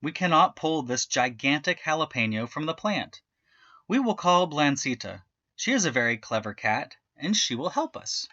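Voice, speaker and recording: A friendly, helpful little dog from a children's storybook, played by a male reader. It talks in barky, emphatic bursts, pitched up and bright. The recording is a little dull. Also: sing-song, moderate pace, no room sound, full character voice. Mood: eager, calling out. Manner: barking out bow-wows, emphatic.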